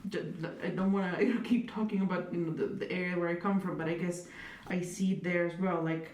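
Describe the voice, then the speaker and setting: A woman's voice: fairly low pitch, level tone, brisk, darkish tone. A young woman, speaking thoughtfully as an interviewee, with fillers and dry humor. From Italy. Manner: thoughtful, many hedges and fillers, wry humor. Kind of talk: reflective conversational interview. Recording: echoey room, distant mic, faint noise.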